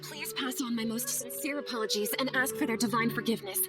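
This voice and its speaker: A woman's voice: on the high side, fairly even intonation, fast, natural voice. A woman playing a troubled, polite young scholar in a game cutscene, speaking earnestly and a little hesitantly. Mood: apologetic. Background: loud music.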